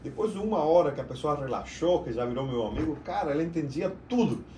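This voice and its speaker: A middle-aged man from Argentina with an Argentine Spanish accent, a native Spanish speaker, talking about his work in a casual, slightly halting way as a podcast guest. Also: fairly high pitch, expressive, warm tone, a little hiss or hum. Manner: chatty, slightly halting storyteller. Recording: roomy echo, mic at a distance.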